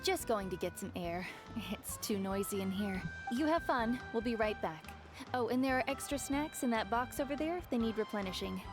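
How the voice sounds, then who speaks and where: A woman playing a calm, motherly tribeswoman in a video game, kindly and wry with a creaky edge. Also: a little high, moderate pace, over music, natural voice. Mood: kindly, casual.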